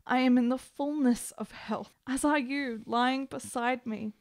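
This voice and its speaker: A very high-pitched, sickly woman, hopelessly in love. She pleads in florid, melodramatic phrases, desperate and devoted, in the manner of a nineteenth-century period radio play. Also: steady tone, a little slow. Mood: dreamy longing. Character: sickly, lovestruck, desperate woman in 1870s Italy. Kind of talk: period radio drama acting.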